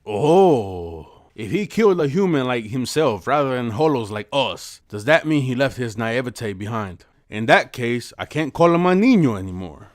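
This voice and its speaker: A man acts out a suave, world-weary warrior for an anime dub, recorded close and dry. He is wry and courteous, at a middling pitch. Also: very animated, normal speed, few pauses, no echo, close to an everyday voice. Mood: wry, musing. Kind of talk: anime fan dub acting.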